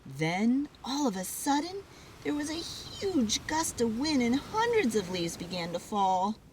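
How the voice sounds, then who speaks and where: A woman giving an expressive, dramatic read-aloud of a children's story. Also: a little high, measured pace, a little hiss or hum.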